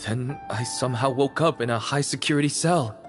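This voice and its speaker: A man's voice: mid-range voice, fairly quick, close to an everyday voice. A man playing an anxious prison inmate, nervous, hesitant and eager to be believed, in anime game style. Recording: faint music behind.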